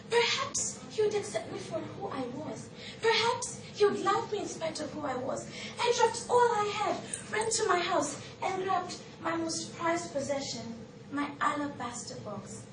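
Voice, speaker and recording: A woman with a Kenyan accent playing a shamed but devoted biblical outcast in a theatrical, emphatic stage monologue. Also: high-pitched. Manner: theatrical, emphatic, drawn-out declamation. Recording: echoey, noisy room, distant microphone.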